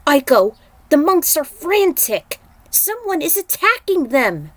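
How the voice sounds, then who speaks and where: A woman's voice: high-pitched, very animated, slow, no room sound, pitched above the performer's own voice, full character voice. An earnest blind swordsman acted by a woman, heroic and commanding. The voice is lifted and urgent, in the manner of a dramatic fantasy storybook reading. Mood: alarmed.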